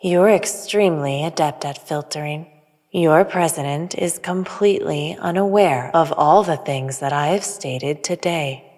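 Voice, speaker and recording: A woman playing a calm, aloof emissary of a hidden ancient race in a sci-fi audio drama, speaking formally and with detachment. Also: low voice, a little slow. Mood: coolly challenging. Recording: long hall reverb on voice.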